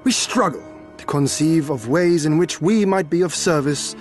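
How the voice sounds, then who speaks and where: A young male warrior, played by an actor with a slightly high voice. He speaks with elaborate, dryly mocking courtesy in a game cutscene. Music is clearly heard behind him. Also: normal speed, close to an everyday voice. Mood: polite mockery. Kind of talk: dramatic game cutscene acting.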